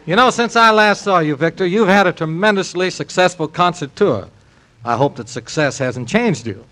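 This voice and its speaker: Bright, high-pitched male old-time radio host. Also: animated, moderate pace. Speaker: radio show host and straight man. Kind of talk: 1940s radio variety show banter. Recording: old radio recording with background noise.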